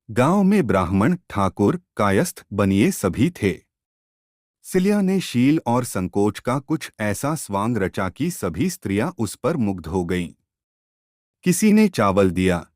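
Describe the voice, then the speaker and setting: A man narrating a literary short story in an expressive, measured storytelling manner. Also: lowish voice, no room sound, clean studio sound.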